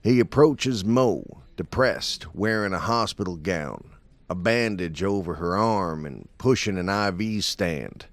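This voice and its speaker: A man's voice: sits low, expressive, a little slow. A man reading scripted narration for an audio drama.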